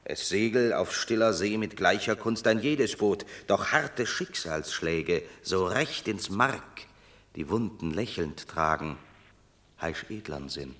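An actor playing a proud, banished Roman war hero who declaims verse in a commanding, bitter manner in a radio play. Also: male voice, sits low, animated, takes it slowly, a bit bright, heavily acted. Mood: consoling, defiant. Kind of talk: German radio drama, stage verse.

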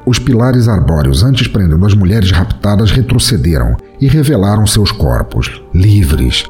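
A man narrating a scripted fantasy story as podcast host, with a dramatic, expressive delivery. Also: lowish voice, unhurried, faint music behind.

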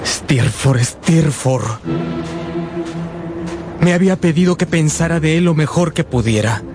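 A man's voice: fairly high pitch, expressive, a little slow. A man playing a sensitive, lovestruck young man in a radio drama, earnest and tender. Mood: wistful. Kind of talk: Spanish-language radio drama acting. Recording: music behind the voice.

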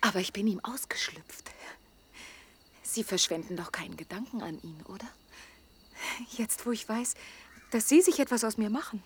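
Radio drama: lonely girl of twenty, lightish pitch, husky breath, imploring and proud, slipping toward whispers. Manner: urgent, imploring, emotionally open. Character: lonely twenty-year-old woman, hurt, pleading yet proud. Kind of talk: intimate German radio drama acting.

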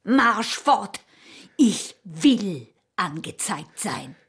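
Radio drama role: a seductive, self-assured smuggler's wife, Austrian accent, taunting and commanding, medium pitch. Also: female voice, big pitch swings, drawn-out words, a bit bright, slightly rough, heavily acted. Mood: scornful, defiant.

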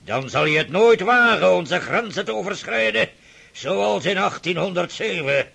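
An actor playing an irascible, domineering old Russian prince who rants and shouts in a radio play. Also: male voice, sits high, animated, normal speed, gravelly, breathy edge, full character voice. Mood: lecturing. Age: older.

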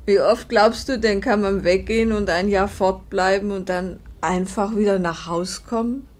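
A weary middle-aged mother, played by an actress in 1950s German radio drama. She speaks at a middle pitch with earnest emphasis, pleading and reproaching as she shields her husband. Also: fairly even intonation, normal speed, a little mellow, lightly acted. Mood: gentle reproach. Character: weary, protective older mother defending her exhausted husband.

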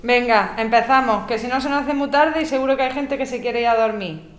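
A woman playing a practical, organised activist who briskly addresses a group in an audio drama. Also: a little high, natural voice. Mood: brisk, organising. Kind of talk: scripted amateur radio drama.

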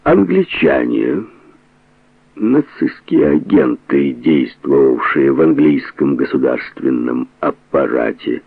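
A man reading a novel aloud as a scripted, dramatic narration, expressively and with deliberate pauses. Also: extremely low pitch, big pitch swings, vocal fry, breathy edge, no echo, phone-quality sound. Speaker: audiobook narrator.

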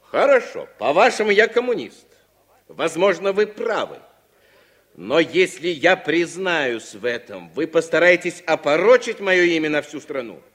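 Principled accused veteran, late thirties, raised-pitch voice, firm and defiant, vintage radio play. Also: male voice, very animated, a bit bright, exaggerated voice. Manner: measured, firm, oratorical. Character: defiant 37-year-old American war veteran, principled communist suspect. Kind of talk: 1960s Soviet radio drama acting.

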